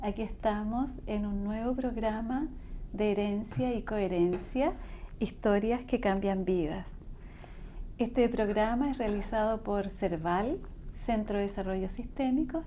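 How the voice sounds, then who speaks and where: A woman's voice: medium pitch, moderate pace, muffled recording, a little hiss or hum. A woman hosting a radio interview, often echoing her guest with short acknowledgements. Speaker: host and interviewer.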